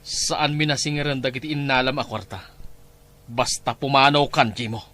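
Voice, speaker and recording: A stern, accusing man in a family audio drama, played by a man. His voice is middle-pitched with a bright, forceful edge. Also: moderate pace, natural voice. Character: stern adult male cousin confronting a wrongdoer. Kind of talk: Ilocano audio drama acting.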